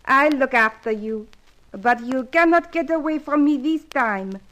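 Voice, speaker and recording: A coquettish French female spy in a radio adventure serial. Her voice is high, with a French accent and halting broken English, and a soft heart shows beneath the flirtation. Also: slight background noise, low-quality recording. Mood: coy, firm.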